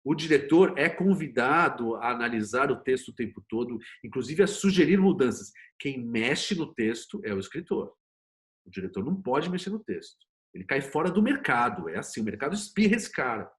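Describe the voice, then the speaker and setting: A man's voice: medium pitch, fairly quick. A Brazilian man speaking as an interview guest, explaining conversationally in an opinionated way with rhetorical questions. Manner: opinionated, animated storytelling with rhetorical questions and acted quotes. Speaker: screenwriter and series producer, interview guest.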